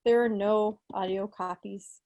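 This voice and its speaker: A woman's voice: medium pitch, fairly even intonation, unhurried, darkish tone, highs cut off. A woman in her thirties chatting casually on a home video call, with a playful, self-deprecating manner. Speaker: club quiz presenter. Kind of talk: casual, chatty club meeting talk. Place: small living room at home, video call. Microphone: video call from a seat in an armchair.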